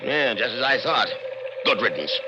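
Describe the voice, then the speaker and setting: A man's voice: mid-range voice, big pitch swings, normal speed, close to an everyday voice. A man playing a proud, smug middle-aged scientist with an American accent in a 1960s radio drama, theatrical and scornful of human assistants. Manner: muttering, theatrical, self-satisfied. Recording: noisy, dull old radio broadcast.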